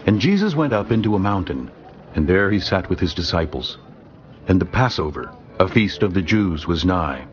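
Low-voiced male scripture narrator. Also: big pitch swings, normal speed, dull audio, a little hiss or hum. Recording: music underneath.

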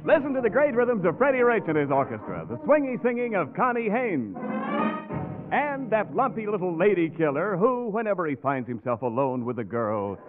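A man's voice: high-pitched, big pitch swings, normal speed. A man announcing a 1940s radio show with a scripted sponsor read. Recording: old radio, narrow phone-like band. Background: music.

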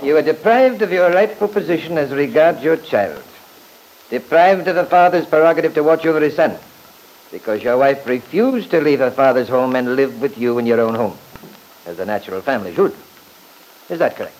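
A man playing an assertive, formal lawyer in an old radio drama, speaking with deliberate emphasis. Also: fairly high pitch, animated, darkish tone, natural voice. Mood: formal, pressing. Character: assertive, formal male lawyer defending his client. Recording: noisy old radio recording.

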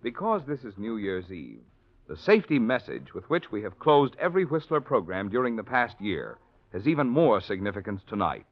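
A man's voice: on the low side, very animated, moderate pace. 1940s radio announcer man with sweeping intonation. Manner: polished, formal. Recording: muffled 1940s radio recording with music. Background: faint music.

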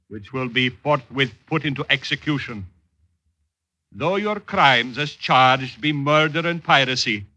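A man's voice: mid-range voice, bright, forceful tone, exaggerated voice, poor audio. A man playing a stern, grave judge passing sentence in solemn, theatrical oratory, in the manner of 1950s radio drama.

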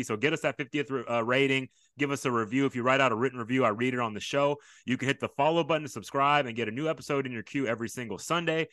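A middle-aged man hosting a podcast, eager and animated, jumping in with quick reactions. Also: medium pitch, dry recording. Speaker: podcast host. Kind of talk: casual interview. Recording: dry close sound.

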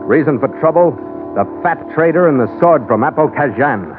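A man's voice: on the high side, expressive, moderate pace, lightly acted. An American man playing a tough, seasoned sea captain, narrating in a clipped, matter-of-fact 1940s radio adventure style. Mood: terse, official. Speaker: lead actor and narrator. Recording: tinny vintage radio broadcast, loud music.

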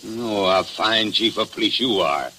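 An actor playing a gruff, suspicious and confrontational small-town official in old radio drama style. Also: male voice, fairly low pitch, measured pace, sharp tone. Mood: irritated, suspicious. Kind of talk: 1940s radio drama acting. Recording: noisy old radio broadcast recording.

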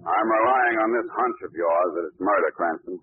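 Man as a gruff police commissioner, curt orders, very deep husky voice, old radio sound. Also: moderate pace, phone-quality sound, over loud music, lightly acted. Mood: brusque. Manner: curt, commanding. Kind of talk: 1940s radio acting.

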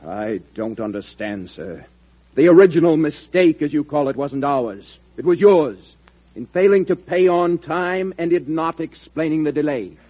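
An earnest, husky American statesman. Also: on the high side, lively intonation, normal speed. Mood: indignant, restrained. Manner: formal, polite but insistent. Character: earnest, insistent male American statesman, formal and forthright. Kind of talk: old radio drama acting. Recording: muffled vintage radio recording.

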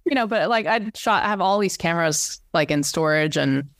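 Chatty woman with a gravelly, creaky voice. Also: fairly low pitch, expressive, moderate pace, dry recording, studio quality. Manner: chatty, many restarts and fillers. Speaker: cinematographer guest. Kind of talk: casual interview chat.

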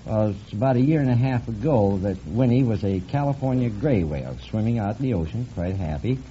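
A man's voice: fairly low pitch, lively intonation, fairly quick, warm tone. A middle-aged man from Canada giving plain, matter-of-fact answers as a contestant on an old radio quiz show. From Vancouver, Canada. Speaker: contestant, aquarium owner. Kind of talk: plain conversational interview answers. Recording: muffled, faintly noisy vintage radio broadcast.

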